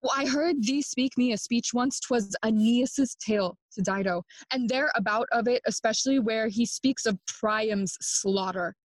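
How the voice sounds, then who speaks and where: A brooding, slow-spoken young prince. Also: female voice, a little high, no room sound, rough recording. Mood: wry, welcoming. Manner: theatrical, deliberate verse delivery. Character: Prince Hamlet, brooding, mocking young nobleman feigning madness. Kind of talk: Shakespearean audio play acting, bilingual English and Spanish.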